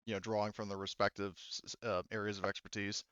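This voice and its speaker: Halting man running a tabletop game, dull sound. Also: fairly low pitch, flat delivery, a bit bright, clear, resonant tone, no room sound. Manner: halting, hedging, swears casually. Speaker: game master. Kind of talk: casual tabletop game narration and chat.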